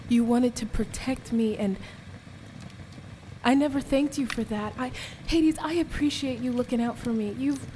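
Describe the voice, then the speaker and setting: An actress playing a resolute, wronged captive goddess in a radio play, speaking with earnest, deliberate intensity. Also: female voice, fairly high pitch, warm tone, a little hiss or hum, close to an everyday voice, low-quality recording. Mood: gentle, earnest. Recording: music behind the voice.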